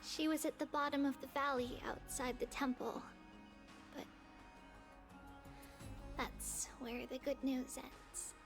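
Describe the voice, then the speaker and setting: An actress playing a gentle, thoughtful young woman in a game, speaking in a soft, measured way. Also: high voice, fairly even intonation, music in the background. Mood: somber.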